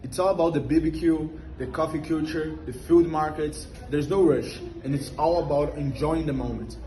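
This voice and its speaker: A young man with a mellow, slightly high voice reads memorized interview answers in an even, steady way. He is recorded in an echoey room with a faint hiss behind him. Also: moderate pace. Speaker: role-play interviewee. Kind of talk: scripted school role-play. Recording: echoey room with background noise.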